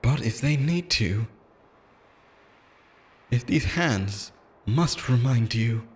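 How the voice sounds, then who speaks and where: Slow, wildly swinging obsessive immortal lover. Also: male voice, medium pitch, animated, a little hiss or hum. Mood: desperate, obsessive. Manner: theatrical, intense, swinging between tender and threatening. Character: obsessive immortal yandere lover, menacing, pleading, unhinged. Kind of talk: dramatic ASMR-style audio roleplay monologue.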